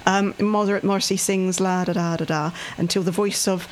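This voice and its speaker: A woman's voice: medium pitch, fairly even intonation, moderate pace, a little hiss or hum. A woman, co-hosting a casual chat show, laughing often and reading out local listings. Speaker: co-host.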